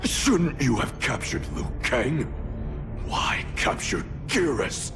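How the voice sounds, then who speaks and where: Casting: a defiant, wary video game warrior, gruff and gravelly, unhurried delivery with varied intonation. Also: male voice, medium pitch, very animated, music in the background, full character voice. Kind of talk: video game character acting.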